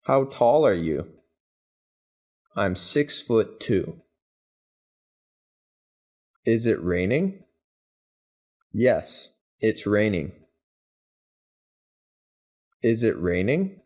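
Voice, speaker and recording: A man reading English practice questions and answers, each said twice in an even, deliberate way. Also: on the low side. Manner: even, deliberate, carefully spaced delivery. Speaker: English teacher. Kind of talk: slow scripted phrase drills, each said twice.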